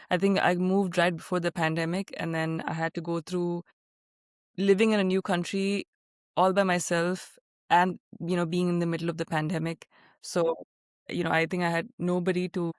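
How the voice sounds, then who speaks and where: Flat, smooth-voiced woman podcast host. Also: lowish voice, dry recording, studio quality. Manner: warm interviewer, many fillers, relates to the guest. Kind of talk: casual interview chat.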